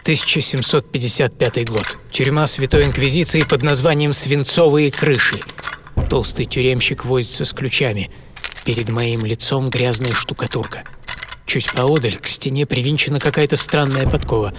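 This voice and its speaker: An actor playing a proud, philosophical prisoner of the eighteenth century in a first-person audio drama monologue, theatrical and intense. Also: male voice, mid-range voice, normal speed, noisy background, lightly acted. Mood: wry, tense. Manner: theatrical, intense, swinging from hushed whispers to agitated cries. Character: imprisoned 18th-century adventurer, proud, brooding, philosophical man. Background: music under the voice.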